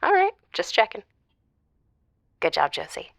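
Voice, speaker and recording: An actress playing a teasing caller who coaxes a radio host into giving advice. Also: female voice, medium pitch, wildly swooping pitch, creaky, no room sound, heavily acted. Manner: coaxing, teasing, slow. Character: teasing female caller turning tables on host. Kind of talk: audio comedy drama.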